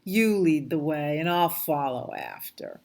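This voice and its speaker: A woman voicing a big, gullible male storybook monster with plain, measured delivery. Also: sits low, huge pitch swings, gravelly, darkish tone, heavily acted. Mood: amused.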